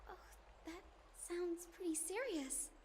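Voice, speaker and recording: A woman voicing a gentle, polite young female healer in an anime-style game. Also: very high voice, animated, slow, full character voice. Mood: hesitant.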